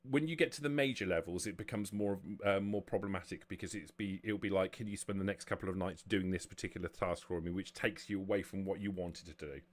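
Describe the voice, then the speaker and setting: A man narrating and explaining a tabletop roleplaying game as game master, halting and often rephrasing as he goes. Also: low voice.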